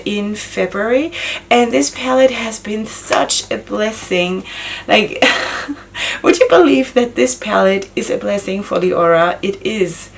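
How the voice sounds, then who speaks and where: Woman, 30s, beauty vlogger; raspy and gravelly, hoarse from a cold, chatty tutorial delivery, close to the camera in a small room. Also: mid-range voice. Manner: chatty, self-questioning, laughs often. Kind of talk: casual makeup tutorial talk.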